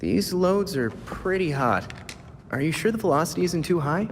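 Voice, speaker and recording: A man's voice: a little high, lively intonation, normal speed. A man playing an earnest, nerdy teenage boy crimefighter in a video game, cautious, precise and inquisitive. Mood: cautious challenge. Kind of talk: naturalistic video game acting. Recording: reverberant room with music behind.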